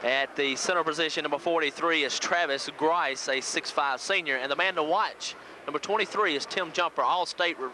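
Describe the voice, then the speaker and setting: A man's voice: fairly high pitch, a little fast, pushed and bright. A man from the United States giving pregame sports commentary as a local radio play-by-play announcer. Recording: noisy old VHS local radio audio.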